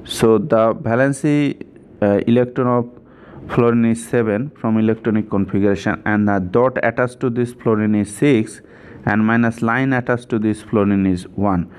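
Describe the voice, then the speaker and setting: A man's voice: fairly low pitch, fairly even intonation, moderate pace, a little mellow, clear, resonant tone. A man giving an informal step-by-step chemistry tutorial, repeating himself and often checking in with "right?".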